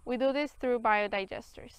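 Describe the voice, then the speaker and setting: A young woman reading a scripted video narration in a clear, measured way. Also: on the high side. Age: young adult. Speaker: student presenter.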